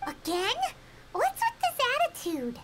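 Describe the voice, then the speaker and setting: A woman voicing a tiny, childlike, excitable and well-meaning fairy companion in an anime game, with a squeaky delivery. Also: unhurried, very noisy, full character voice. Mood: exasperated, pleading. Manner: refers to self in third person, squeaky and childlike. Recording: loud music under the voice.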